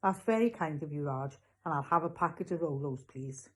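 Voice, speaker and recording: A woman's voice: low voice, expressive, normal speed, slightly rough. A woman voicing a polite, sceptical young boy in a storybook, questioning and incredulous. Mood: polite refusal. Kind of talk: storybook character voice.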